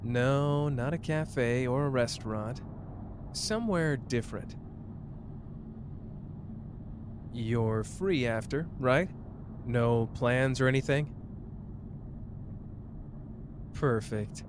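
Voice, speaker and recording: A man's voice: medium pitch, slow pace, background noise, natural voice. A man playing a cocky, caring young sorcerer in a roleplay ASMR drama, teasing and tender as the listener's partner. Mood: upbeat, casual.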